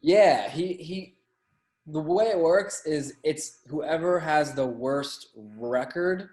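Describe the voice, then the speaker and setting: A man chatting casually as a podcast guest, telling anecdotes in a halting way, often repeating and stumbling over words. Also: fairly high pitch, a little mellow. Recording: roomy, echoey sound.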